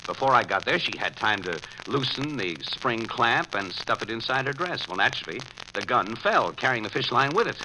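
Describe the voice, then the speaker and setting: An American actor playing a brash, wry newspaper crime photographer in an old-time radio drama, talking in insistent slang. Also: male voice, mid-range voice, expressive, moderate pace, hardly any pauses, pushed and bright. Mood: excited explaining. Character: brash, quick-thinking male newspaper crime photographer sleuth. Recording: noisy old radio broadcast recording.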